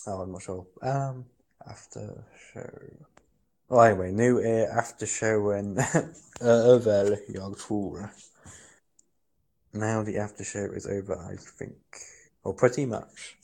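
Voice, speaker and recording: A man co-hosting a podcast in rambling casual chat, halting and full of restarts. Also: fairly low pitch, steady tone, darkish tone. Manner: halting, many restarts and fillers. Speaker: podcast co-host. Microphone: headset.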